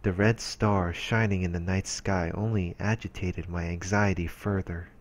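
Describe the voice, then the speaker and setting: A man's voice: low-pitched, fairly even intonation, moderate pace. A man reading visual novel narration aloud as a let's player, in an earnest, dramatic storytelling way.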